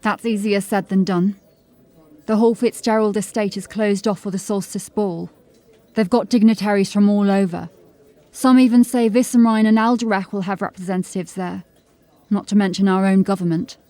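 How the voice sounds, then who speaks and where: A woman playing a diligent, drily witty police constable in a fantasy audio drama, articulate and measured. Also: medium pitch, fairly even intonation, mellow, close to an everyday voice. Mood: measured, wry.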